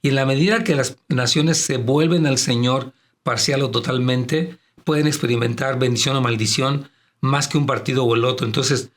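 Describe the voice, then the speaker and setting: Male pastor, Latin American Spanish accent, strong projecting voice, medium pitch, explanatory, conversational church program. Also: moderate pace. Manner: addresses listeners as brethren, explanatory. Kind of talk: conversational religious and political commentary.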